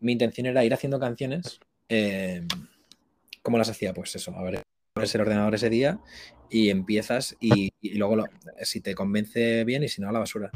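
A young man with a slightly low voice talks casually as a guest in an interview. He thinks aloud in a halting, colloquial way, often rewording his phrases as he goes. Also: fairly even intonation. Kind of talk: casual chat.

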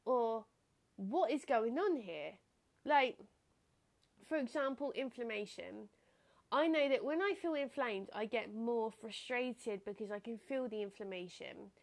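A young woman talks alone into a podcast mic, her voice pitched a little high and a bit nasal, as if stuffed up. She muses casually and reflectively and breaks into laughter easily. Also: lively intonation, measured pace. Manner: chatty, reflective, laughs often. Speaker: podcast host. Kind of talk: casual solo podcast talk.

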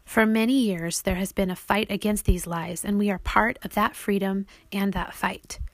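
A woman's voice: medium pitch, steady tone, normal speed, no room sound. A woman reading an audiobook as its author, explaining things simply, as if to young children.

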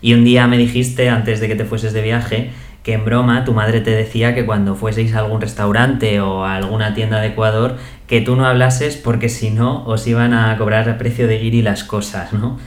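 Low-voiced male podcast host. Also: level tone, moderate pace, roomy sound. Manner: friendly, asks questions and sums up. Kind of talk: casual podcast interview.

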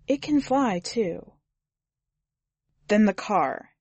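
A woman's voice: medium pitch, animated, very slow, a little mellow, breathy edge, dry recording, voice pushed up, exaggerated voice. Woman voicing a desperate young drifter, dry sound. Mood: fearful, pleading. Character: desperate twenty-year-old drifter, fearful then defiant.

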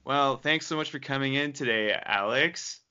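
A man's voice: mid-range voice, moderate pace, sharp tone, smooth. A man hosting a radio interview show, casual and easygoing, often chuckling. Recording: dull lo-fi remote audio.

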